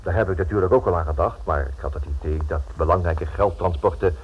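An actor in a radio play voicing a polite, nervous writer who stammers haltingly through his inquiries in a husky voice. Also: low voice. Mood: hesitant, polite. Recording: dull, lo-fi old radio recording.

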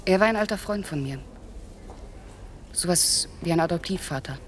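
A woman's voice: on the low side, normal speed, a little hiss or hum, natural voice. An actress playing a mysterious young woman in her early twenties in a radio crime play, terse and guarded. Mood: subdued, wary. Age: young adult. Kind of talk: radio crime drama dialogue.

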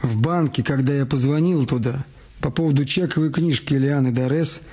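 A man's voice: mid-range voice, a little fast, darkish tone, slightly rough, lightly acted. An actor playing a police sergeant who gives his report in a matter-of-fact way in a radio play.

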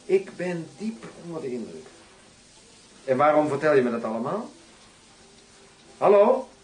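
An actor playing a cool, sardonic undercover bodyguard in a radio drama, dry and clipped. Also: male voice, fairly high pitch, big pitch swings, measured pace, reverberant, recorded from a distance, poor audio. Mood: cool, guarded.

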